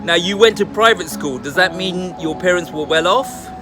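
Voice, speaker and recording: Guy doing a casual chummy interview, voice a bit high, music playing behind him. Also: normal speed. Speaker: interviewer and channel host.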